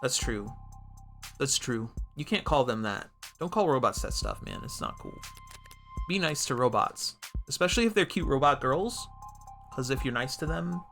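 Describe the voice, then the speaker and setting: A man's voice: mid-range voice, huge pitch swings, normal speed, vocal fry, a bit bright. A man comments casually on a game he is playing. His voice sits in a middle register, and he talks in an informal, joking way, with music playing underneath. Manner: jokey, informal. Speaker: video game streamer. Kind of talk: playthrough commentary mixing casual reactions and voiced dialogue reading.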